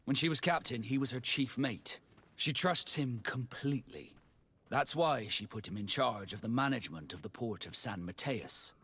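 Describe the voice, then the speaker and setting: An actor playing a calm, loyal seafaring companion in a fantasy video game, speaking plainly and matter-of-factly. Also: male voice, medium pitch, moderate pace, husky, no echo.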